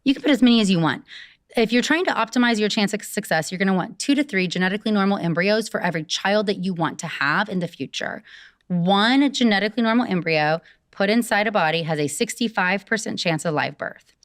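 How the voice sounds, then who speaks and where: A woman fertility doctor explaining clearly in an interview, walking through the numbers step by step. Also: mid-range voice, brisk, no room sound, clean studio sound.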